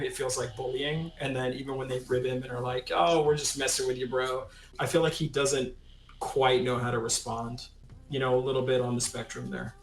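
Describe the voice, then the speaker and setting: Male tabletop player, mid-range and flat in intonation, casual improvised delivery, noticeably echoey room. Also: medium pitch. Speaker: tabletop role-playing player. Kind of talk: casual improvised character discussion. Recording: roomy echo on the voice. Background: faint music.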